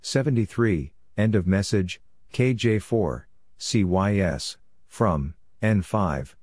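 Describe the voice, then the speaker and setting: Slow, low-voiced man reading short phrases. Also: a little mellow, breathy edge, no room sound, clean studio sound. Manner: measured, clipped short phrases. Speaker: Morse code practice narrator. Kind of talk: scripted read-out of radio practice messages, phrase by phrase.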